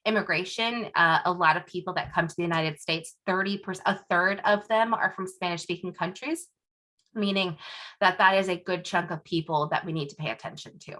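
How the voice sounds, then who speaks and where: Woman hosting a zoom talk, lowish bright voice, lots of ums. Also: fairly quick. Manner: conversational, many fillers. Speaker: webinar presenter. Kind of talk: informal online presentation. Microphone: computer mic on a video call.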